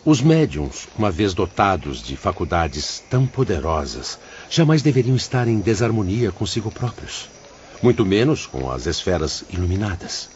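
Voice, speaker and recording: A man's voice: deep voice, big pitch swings, normal speed, a little mellow, a little hiss or hum. A man playing a grave, fatherly spirit mentor in an audiobook drama, speaking in a solemn, preacherly manner. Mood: grave, admonishing. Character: grave, fatherly male spirit mentor instructing his pupils. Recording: dull lo-fi audio under music.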